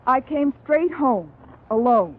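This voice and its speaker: A woman's voice: high-pitched, lively intonation, slow pace, exaggerated voice. An actress playing a defensive, indignant woman suspected of murder in a 1950s radio drama, speaking insistently. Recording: tinny old radio recording with music.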